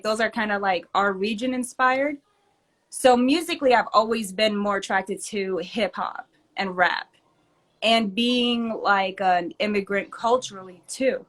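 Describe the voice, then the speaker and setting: A young woman, a rapper born in Germany to Turkish parents and raised in the US, talking casually in an interview with lots of fillers and quick laughs. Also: mid-range voice. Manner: chatty, laughs often, many fillers. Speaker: rapper and entrepreneur, guest.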